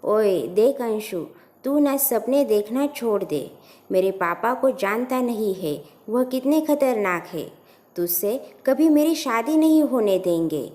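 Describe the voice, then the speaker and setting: A woman's voice: on the high side, animated, slow, warm tone. A woman voicing a practical, teasing young woman in love in an audio story, informal and protesting. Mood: warning. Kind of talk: acted storytelling dialogue.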